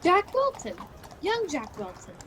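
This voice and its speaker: Woman acting an excitable, high, animated miser. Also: a little slow, a little hiss or hum, exaggerated voice. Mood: excited, nostalgic. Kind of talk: theatrical radio play acting. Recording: home video-call recording.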